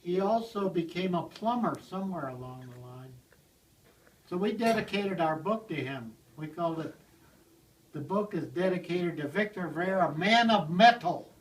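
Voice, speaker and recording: Older man, slightly high voice, rambling blacksmith storyteller, recorded from across the room on a camera. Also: a little hiss or hum. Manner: rambling, chuckles as he tells it. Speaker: blacksmith telling stories. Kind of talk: casual storytelling to a small group. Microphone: handheld video camera. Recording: distant from the mic, roomy.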